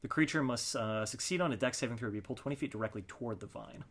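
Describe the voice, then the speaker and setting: A man's voice: medium pitch, very fast. A man playing a tabletop role-playing game, chatting casually and thinking aloud in a hesitant way.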